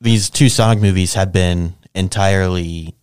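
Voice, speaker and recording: A man co-hosting a podcast in casual banter, talking in a rambling, halting way full of "like". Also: deep voice, darkish tone, clean tone, no room sound, clean studio sound. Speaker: podcast co-host. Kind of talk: casual banter about video game movies.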